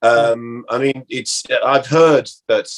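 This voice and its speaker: British male, middle-aged, medium pitch, halting conversational delivery, repeats words for emphasis. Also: steady tone, low-quality recording. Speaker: guest former actor. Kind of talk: casual interview chat.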